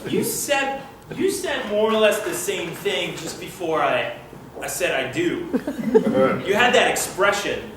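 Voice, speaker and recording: A man's voice: sits high, big pitch swings, measured pace, crowd noise. Nigel, a man playing a jittery newly divorced guy. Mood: fretful. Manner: anxious, rambling, stammering restarts. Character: nervous fretful man at his own divorce party. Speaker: improv performer. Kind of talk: live improv comedy scene. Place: theater stage with live audience. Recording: roomy live stage recording from far off, audience noise.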